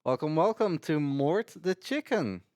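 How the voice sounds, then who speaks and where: A man's voice: on the high side, very animated, measured pace, a little mellow, no echo, clean studio sound. A man giving casual live commentary as he speedruns a video game.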